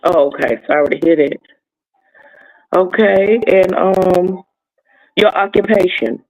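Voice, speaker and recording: A woman chats on a live stream. She is easygoing and unhurried, asking plain, simple questions as she keeps an eye on the chat. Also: fairly low pitch, highs cut off. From North Carolina. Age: 50s. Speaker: guest co-host.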